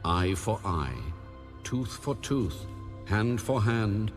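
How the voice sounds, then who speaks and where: A man reads scripture in a low voice that rises and falls expressively. His delivery is formal and solemn, with measured phrasing, and faint music sits behind him. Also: lively intonation. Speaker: Bible audiobook narrator.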